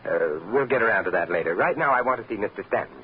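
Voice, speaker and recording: An actor playing a suave, unflappable adventurer with an urbane, teasing wit in an old radio drama. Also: male voice, on the low side, expressive, fast talker, muffled recording, natural voice. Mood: breezy, teasing. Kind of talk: 1940s-50s radio drama acting. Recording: scratchy, thin old-time radio recording.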